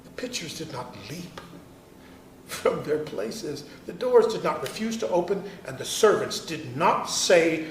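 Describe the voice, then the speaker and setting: A man playing an impassioned American abolitionist, giving an oratorical dramatic reading of his letter with pauses and swells. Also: fairly high pitch, animated, a little hiss or hum. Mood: wry triumph. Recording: roomy, distant microphone, music.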